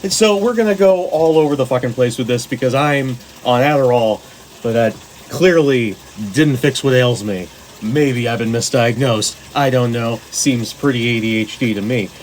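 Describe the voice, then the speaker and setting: A man's voice: mid-range voice, moderate pace, rough recording. A man gives rambling, informal commentary like a fan talking to followers. He is jokey and digressive, with loose, sweary phrasing. Faint background noise sits under his voice. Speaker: host of a fan story guide. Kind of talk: casual rambling video commentary on sci-fi stories.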